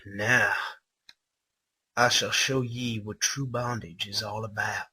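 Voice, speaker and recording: A man's voice: on the low side, fairly even intonation, pushed and bright, breathy edge, heavily acted. Man putting on a pompous, sadistic older tormentor. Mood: menacing, pompous. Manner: theatrical, slow, mock Old English delivery. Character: sadistic older male attendant, pompous mock-archaic tormentor. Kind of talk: comic dramatic reading of fan fiction.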